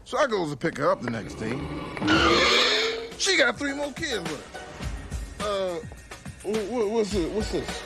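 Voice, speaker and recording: A man voicing an exasperated, streetwise comic character in an animated comedy. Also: high voice, unhurried, vocal fry, long pauses, exaggerated voice. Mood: exasperated, incredulous. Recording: loud music over film clip.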